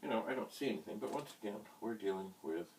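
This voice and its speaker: A middle-aged man giving an unscripted hands-on demonstration, speaking in deliberate, broken phrases with quaint, slightly theatrical wording, recorded on a distant mic. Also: lowish voice, lively intonation, a little mellow. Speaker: vintage computer hobbyist presenting a how-to vlog. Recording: distant mic, faint background hiss.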